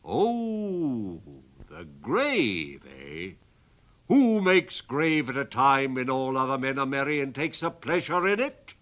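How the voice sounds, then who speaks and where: Brief: loud, slow, menacing goblin, done by a male reader, mocking and stern, bright edge. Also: medium pitch, huge pitch swings, exaggerated voice. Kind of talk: dramatic storybook reading.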